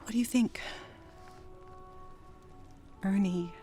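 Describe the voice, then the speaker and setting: An actress playing Jerry, a troubled, wistful, newly sober wife in a 1930s radio drama. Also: female voice, medium pitch, slow, mellow, faint music behind, close to an everyday voice. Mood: wistful, pleading.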